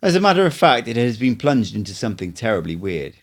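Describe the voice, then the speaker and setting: A man voicing an old male sorcerer and teacher in an audiobook, speaking in a measured, instructive way. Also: mid-range voice, big pitch swings. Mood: explaining. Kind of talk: audiobook dialogue reading.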